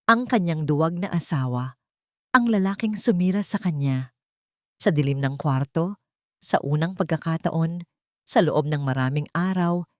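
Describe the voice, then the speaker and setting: Female storyteller, lowish voice, dry sound, measured dramatic delivery of scripted romance narration. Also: dry recording.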